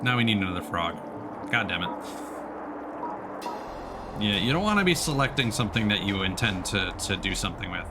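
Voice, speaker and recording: A man's voice: fairly low pitch, animated, fairly quick, pauses often, heavy background noise. A man giving casual, playful commentary as he livestreams a video game. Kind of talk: casual let's play commentary with on-screen text read aloud.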